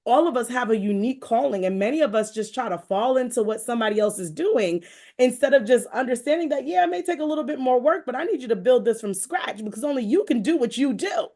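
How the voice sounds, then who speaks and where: An American woman hosting a conversational, faith-based interview, speaking in an effusive, rambling way with expressive asides. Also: a little high, fast talker. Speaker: show host interviewing a guest.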